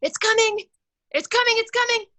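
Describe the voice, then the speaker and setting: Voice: very high voice, moderate pace, bright, forceful tone. A performer voicing a panicked, eager teenage boy superhero in an amateur film table read, frantically repeating themselves. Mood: frantic urgency. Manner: frantic, repeating, breathless urgency.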